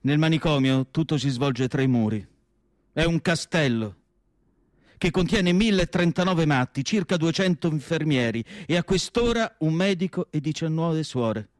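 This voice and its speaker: Male actor as melancholic asylum doctor-diarist, slightly high male voice, solemn literary recitation, deliberate pauses, radio drama, close dry sound. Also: lively intonation, no echo, natural voice. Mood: reflective, recollecting. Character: male asylum doctor-writer, reflective, melancholic, writing his farewell diary.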